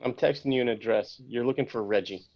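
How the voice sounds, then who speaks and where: A man's voice: medium pitch, quick pace, muffled recording, lightly acted. A man reading the part of a pushy talent agent at a script table read, brusque and commanding. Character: pushy fast-talking male talent agent.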